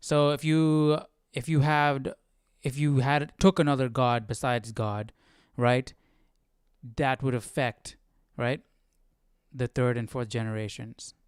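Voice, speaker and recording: Clear-voiced man in a dry, close space. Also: medium pitch, fairly even intonation, takes it slowly, dry recording. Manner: thinking aloud, often checking with "right?". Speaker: Bible study host. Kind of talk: casual live study commentary, reading verses and explaining.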